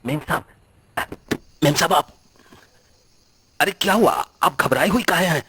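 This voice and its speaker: Male actor as concerned rustic servant in a horror audio drama, respectful and folksy, pitched above a deep natural register. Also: animated, a little slow, noisy background. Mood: alarmed. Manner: respectful, rustic colloquial Hindi phrasing.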